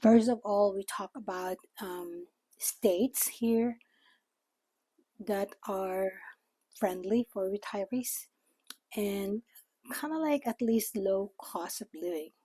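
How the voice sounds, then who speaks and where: An older woman with a Filipino accent, native in Tagalog, speaks slowly in an informal vlog style. Her voice is mellow and somewhat husky. She explains things in a halting way, recorded close and dry. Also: mid-range voice, no room sound. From Philippines. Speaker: vlogger. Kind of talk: informal talk to camera.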